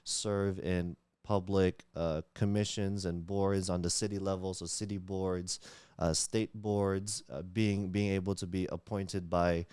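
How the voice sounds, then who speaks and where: A man's voice: low-pitched, level tone, a little slow, a little mellow, clear, resonant tone, no room sound. A man, a Tagalog speaker, talking earnestly as a guest in a casual interview, with many fillers and restarts. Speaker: community leader, guest.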